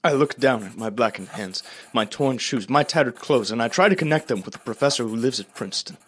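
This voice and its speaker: A man's voice: medium pitch, lively intonation, a bit bright, husky. A young man playing a haunted, brooding survivor. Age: young adult. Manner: solemn, deliberate, brooding. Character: haunted male professor, lone survivor writing his journal. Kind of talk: theatrical radio drama monologue.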